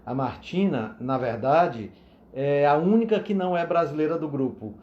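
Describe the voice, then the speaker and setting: Host, man, slightly high voice, chatty with fillers, roomy dull web-call sound. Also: expressive, moderate pace, darkish tone. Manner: chatty, lots of fillers and asides. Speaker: live stream host. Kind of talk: hosting a live video interview. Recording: echoey, dull lo-fi stream audio.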